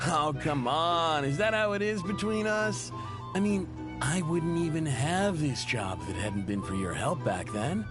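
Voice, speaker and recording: A man's voice: on the high side, lively intonation, unhurried. A man playing an easygoing, modest machinist in a video game, chummy and self-deprecating in his delivery. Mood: friendly, pleading. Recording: music under the voice.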